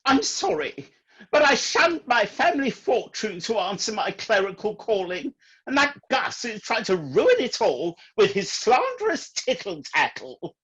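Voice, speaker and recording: Exasperated clergyman played by a man for radio comedy: fussy, indignant, slow and animated, dull recording. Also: sits high, breathy edge, exaggerated voice. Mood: aggrieved, indignant. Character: exasperated, aggrieved clergyman, husband and father. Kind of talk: comic radio-play acting. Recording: dull home recording, music underneath.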